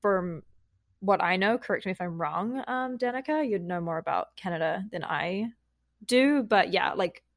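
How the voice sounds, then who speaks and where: A woman's voice: mid-range voice, lively intonation, moderate pace, no echo. New Zealand woman, dry close sound. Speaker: podcast guest. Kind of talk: casual chat.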